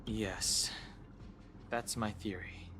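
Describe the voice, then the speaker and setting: A man playing a studious young man who explains things in a calm, dry, matter-of-fact way in a video game. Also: fairly low pitch, drawn-out words, over music. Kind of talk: anime-style video game voice acting.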